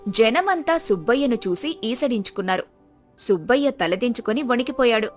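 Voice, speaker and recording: A woman's voice: fairly high pitch, expressive, moderate pace, faint music behind. A woman narrating a scripted moral story as a storyteller.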